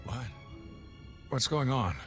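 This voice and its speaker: An actor playing a shaken rescued military captain in a video game, earnest and plain-spoken. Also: male voice, mid-range voice, animated, takes it slowly, in a large hall, over music. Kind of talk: scripted video game cutscene acting.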